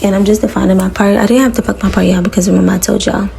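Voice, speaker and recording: A young woman with a raspy voice chatting casually to viewers as she styles her hair, relaxed and full of slang, in a small room. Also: mid-range voice, very fast. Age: young adult. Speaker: beauty vlogger. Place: small room before a green screen.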